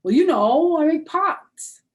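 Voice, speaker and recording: A woman's voice: high-pitched, expressive, takes it slowly, voice pushed up. A woman reading a storybook as a nervous, evasive young schoolgirl, hesitant and stammering. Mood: flustered.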